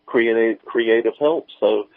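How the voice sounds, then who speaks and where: Man, radio guest, casual folksy chat, halting delivery, dry sound, narrow telephone-like audio. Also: medium pitch, no echo. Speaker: guest, audio drama creator. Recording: tinny, phone-like band-limited line.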